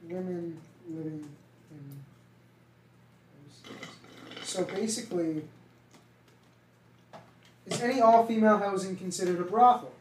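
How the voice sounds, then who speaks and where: A man's voice: sits high, darkish tone. Young man with a Boston accent, off-mic. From Massachusetts. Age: young adult. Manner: rambling, swears freely. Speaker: podcast co-host. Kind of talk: casual chat. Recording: roomy, distant from the mic, faint ambient noise.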